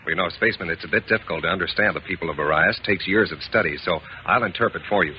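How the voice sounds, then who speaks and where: A man's voice: sits low, very fast, faint music behind. A man working as a radio announcer in scripted 1950s style. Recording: muffled noisy 1950s radio recording.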